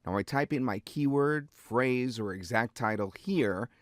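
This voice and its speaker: A man narrating a scripted software demo step by step. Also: medium pitch, a little slow, no echo, clean studio sound. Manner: step-by-step, instructive.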